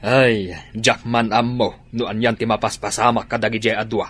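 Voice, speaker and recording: A man playing a concerned Filipino father in a radio drama, with a husky voice and a Filipino accent. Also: on the low side, flat delivery, moderate pace, a bit bright. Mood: gossipy, puzzled. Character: plain-spoken Filipino father, fretting over his grown children. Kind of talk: Ilocano radio drama acting.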